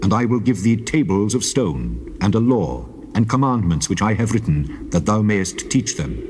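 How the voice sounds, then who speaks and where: Deep, commanding voice of God. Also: male voice, big pitch swings, normal speed. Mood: summoning. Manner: solemn, authoritative, declamatory. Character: God of Israel, male, commanding, solemn lawgiver. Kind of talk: dramatized scripture reading in archaic English. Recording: clearly noisy old cassette tape.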